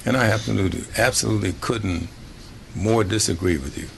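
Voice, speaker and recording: A man's voice: fairly low pitch, normal speed, gravelly, a bit bright, a little hiss or hum. A man questioning a witness at a hearing, interrupting and repeating words as he presses his point. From Houston, Texas. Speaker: committee member. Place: legislative hearing room.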